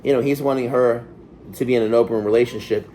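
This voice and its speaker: A man's voice: medium pitch, fairly even intonation, fast, warm tone, slight background noise. A man co-hosting, reading a letter aloud and adding brief casual comments. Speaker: co-host.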